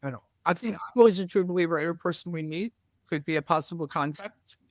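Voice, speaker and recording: A woman with a deep voice, chatting as a guest on a podcast and telling stories in a friendly, talkative way. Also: fast, no echo, clean studio sound. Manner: chatty, tells anecdotes freely. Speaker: shop staff member and guest. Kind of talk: casual podcast chat.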